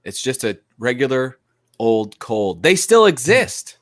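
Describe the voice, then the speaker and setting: A man's voice: medium pitch, very animated, slow. A man co-hosting a casual live chat, sounding stuffed up from a cold, cheerful and chatty. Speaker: regular co-host.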